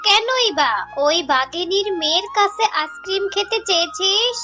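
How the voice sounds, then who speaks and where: Cartoon fox mum, woman performer: careworn, plaintive, scolding, very high-pitched, music bed. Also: animated, moderate pace, sharp tone, full character voice. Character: careworn fox mother of a poor family. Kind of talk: Bangla cartoon voice acting.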